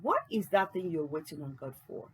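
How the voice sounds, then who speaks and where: A woman's voice: low-pitched, lively intonation, a little fast, slightly rough, poor audio. A woman hosting a book channel, earnestly encouraging listeners with rhetorical questions after a reading.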